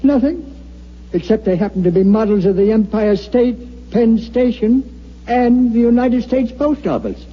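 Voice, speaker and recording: An actor playing a seasoned, stubborn newspaper editor in an old-time radio drama, brusque and wry. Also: male voice, sits high, measured pace, highs cut off, background noise. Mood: intrigued.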